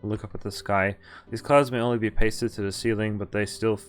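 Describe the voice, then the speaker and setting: A man's voice: on the low side, steady tone, brisk. A young man on a live playthrough, reading visual novel text aloud and casually breaking off to comment. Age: young adult. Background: game music.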